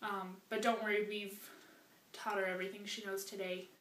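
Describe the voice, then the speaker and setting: A woman in her twenties from Colorado, making deadpan jokes about her roommate. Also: medium pitch, normal speed. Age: 20s. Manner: deadpan joking. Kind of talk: casual chat to camera. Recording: echoey room, faint background noise.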